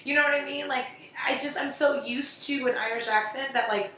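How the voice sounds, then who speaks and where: A woman's voice: high voice, steady tone, a little fast, pushed and bright. A woman in casual chat, talking freely, laughing and swearing often. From United States. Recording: echoey room from far off.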